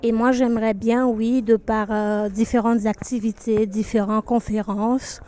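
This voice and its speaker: A woman from Burkina Faso, a pageant candidate, giving earnest, measured interview answers. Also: fairly high pitch, little pitch movement, mellow.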